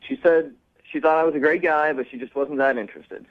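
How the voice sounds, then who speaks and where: A man's voice: fairly high pitch, fairly even intonation, a little fast. A man calling in to a radio show over the phone, chatting casually in an earnest, slightly hesitant way. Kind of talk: casual chat. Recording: dull lo-fi phone line.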